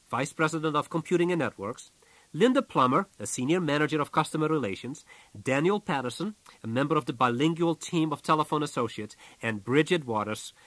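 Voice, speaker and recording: A man reading a business audiobook from a script in a measured, businesslike way, as its author. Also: fairly high pitch, a bit bright, dry recording.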